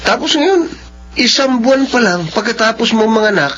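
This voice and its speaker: A man's voice: very high-pitched, lively intonation, normal speed, a bit bright. A man playing a friendly, persuasive, caring Filipino co-worker in a radio drama, with a Filipino accent. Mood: concerned. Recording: dull radio audio under prominent music.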